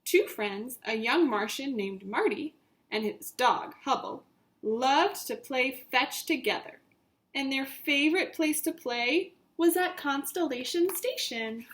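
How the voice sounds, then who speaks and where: Slow, high-voiced woman teacher reading aloud. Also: expressive, fairly bright tone. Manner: simple, instructive phrasing with repetition for small children. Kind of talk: read-aloud story lesson for young children.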